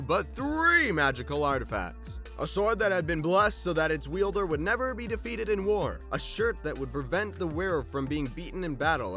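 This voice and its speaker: Male video narrator, high and bright, wry storyteller with a theatrical streak and joking asides. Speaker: video host.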